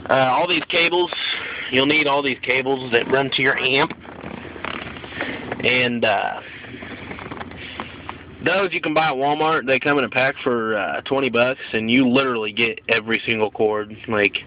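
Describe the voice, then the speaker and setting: A man giving a casual hands-on how-to demonstration in an informal, blunt, plain-spoken way, recorded on a handheld phone in and around a pickup truck. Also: mid-range voice. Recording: dull lo-fi phone audio, vehicle noise.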